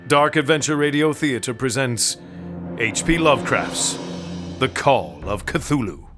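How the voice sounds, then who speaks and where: An actor playing a grave, formal old-time radio announcer introducing a drama in a declamatory style. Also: male voice, medium pitch, animated, measured pace, natural voice. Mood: grave, portentous. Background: music.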